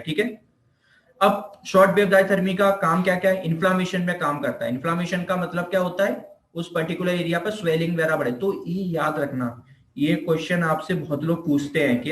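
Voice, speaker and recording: Echoey, higher-pitched Indian man teaching. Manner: repeats himself, poses questions and answers them. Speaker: physiotherapy teacher. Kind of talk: informal explanatory lecture in Hindi mixed with English terms. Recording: echoey room.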